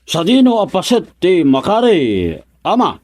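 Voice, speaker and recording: A man acting an eager rustic villager in an Ilocano radio comedy drama, with an Ilocano accent and animated, drawn-out calls. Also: high-pitched, full character voice.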